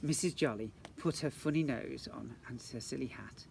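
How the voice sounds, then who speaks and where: A man's voice: mid-range voice, moderate pace, gravelly, a little mellow. A man, a teacher, reading a storybook aloud to young children outdoors, playfully adding questions and asides. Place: outdoors in a garden. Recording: faint outdoor background noise.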